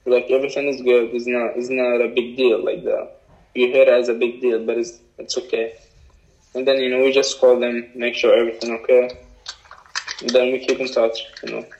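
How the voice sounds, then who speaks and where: A young man with an Arabic accent, a native Arabic speaker, answering interview questions in a halting way with many fillers. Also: mid-range voice, flat delivery, a little mellow. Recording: dull lo-fi recording.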